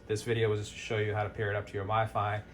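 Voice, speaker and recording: A man's voice: on the low side, completely flat delivery, racing, slight background noise. A man presenting an informal step-by-step product tutorial in a plain-spoken, hands-on, instructional way.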